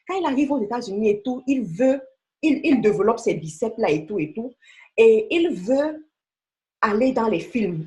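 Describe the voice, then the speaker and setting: A woman's voice: on the high side, a little mellow. A woman giving a motivational sales training talk, emphatic and coaxing, speaking straight to the listener. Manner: emphatic, coaxing, addresses listener directly with rhetorical questions. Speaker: beauty sales team leader and trainer.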